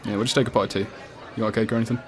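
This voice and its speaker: A man's voice: lowish voice, flat delivery, racing, close to an everyday voice. A man with a British accent playing a casual but persistent police detective in a radio play, questioning politely and insistently. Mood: breezy, probing. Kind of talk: student radio drama acting. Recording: cafe crowd chatter behind.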